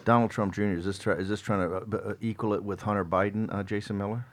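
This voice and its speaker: Deep-voiced man firing rhetorical questions. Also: fairly even intonation, fast talker. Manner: emphatic, rhetorical questions, many restarts. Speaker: talk show host. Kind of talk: political talk radio.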